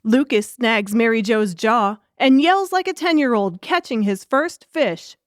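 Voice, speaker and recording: A woman's voice: a little high, expressive, a little slow, slight background noise. A woman reading scripted audiobook narration as the storyteller.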